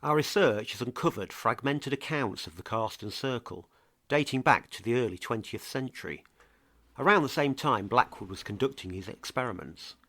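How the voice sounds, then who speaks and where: A man presenting a scripted true crime mystery podcast as an earnest investigator, with a measured, portentous delivery. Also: mid-range voice, expressive, sharp tone, no echo. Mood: grave, intriguing.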